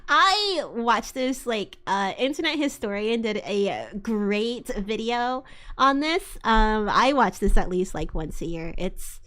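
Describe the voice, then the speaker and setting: A woman with a distinctly high voice hosts a casual livestream. She talks in loose, slangy, rambling chat and is prone to giggles. Her delivery is informal and addressed straight to her viewers. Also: expressive, takes it slowly, fairly bright tone. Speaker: livestream host.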